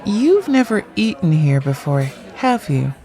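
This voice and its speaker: A woman playing a wry, blunt writer in her late thirties with a gravelly voice in an audio drama. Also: mid-range voice, very animated, a little slow, mellow, some chatter behind. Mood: wry, playful. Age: late 30s. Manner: dry, blunt, quippy.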